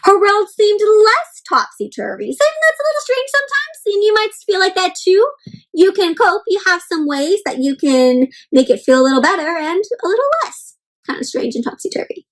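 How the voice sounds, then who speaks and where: High-pitched woman reading aloud to children. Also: a little fast. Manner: expressive, encouraging, asks listeners questions; addresses listeners as friends, simple child-directed phrasing. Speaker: children's read-aloud host. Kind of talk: interactive picture-book read-aloud to children.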